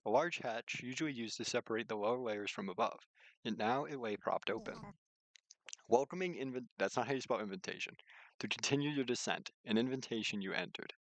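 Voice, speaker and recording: A man's voice: medium pitch, dry recording, poor audio. A man reading a visual novel aloud as a streamer, mixing narration with casual commentary.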